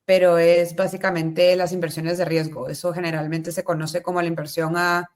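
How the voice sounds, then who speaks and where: A woman's voice: on the low side, level tone, normal speed. A woman from Guatemala, a guest explaining her career steadily in an interview. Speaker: guest, venture capital entrepreneur. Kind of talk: casual interview talk.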